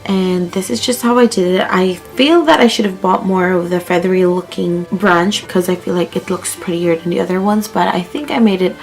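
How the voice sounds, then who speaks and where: Young woman losing her voice, chatty home vlog, fillers, soft music behind. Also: on the low side, brisk, hardly any pauses. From Philippines. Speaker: vlogger. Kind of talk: casual home vlog narration. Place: living room at home.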